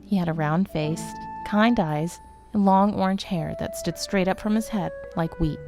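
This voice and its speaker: A woman's voice: lowish voice, normal speed, music in the background. A woman reading scripted narration for a fantasy story, as a storyteller. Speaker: narrator of a fantasy fiction podcast.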